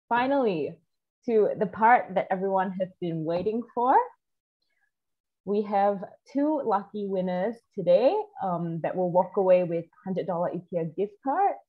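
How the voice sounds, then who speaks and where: Husky young woman hosting, muffled audio. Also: lowish voice. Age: young adult. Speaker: webinar host. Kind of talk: casual webinar hosting. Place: indoors, video call. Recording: dull, muffled video call audio.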